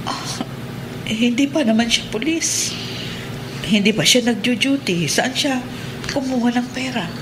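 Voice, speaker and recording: Humbled, higher-voiced wife. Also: female voice, expressive, unhurried, pauses often, noisy background. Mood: surprised, puzzled. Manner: hesitant, emotional. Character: once-resentful wife, humbled and regretful. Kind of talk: radio drama acting in Tagalog. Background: dramatic music.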